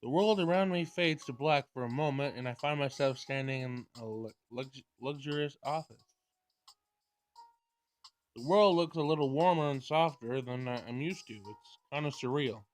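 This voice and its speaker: A man's voice: mid-range voice, normal speed. A man doing a let's play of a visual novel, reading dialogue aloud with casual commentary and stumbling over words as he goes. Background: game music.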